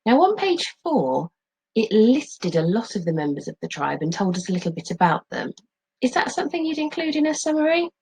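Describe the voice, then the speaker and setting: A middle-aged woman speaking as a teacher, explaining and reading aloud in a clear, instructive way with expressive phrasing. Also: mid-range voice, a little fast, rough recording.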